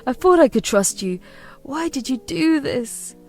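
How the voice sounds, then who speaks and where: A woman voicing a patient grown son who answers his mother in a measured, firm way. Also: high-pitched, animated, a little mellow, faint music behind, pitched above the performer's own voice.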